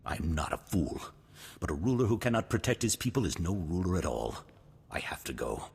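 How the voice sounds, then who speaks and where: An actor playing a noble, resolute duke in a video game, husky, formal and stately in delivery. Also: male voice, low-pitched, big pitch swings, brisk, a little mellow, heavily acted. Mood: angry. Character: noble, resolute older duke, solemn war leader. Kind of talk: cinematic video game voice acting. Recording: long hall reverb, music behind.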